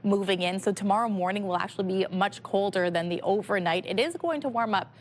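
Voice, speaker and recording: A woman's voice: mid-range voice, brisk, a little hiss or hum. A woman presenting a live television weather forecast in a conversational broadcast style.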